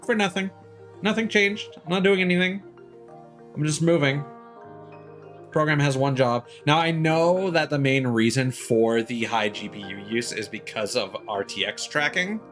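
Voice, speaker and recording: A man giving casual live game stream commentary in a rambling, halting, mildly griping way. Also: a little high, fairly bright tone, soft background music.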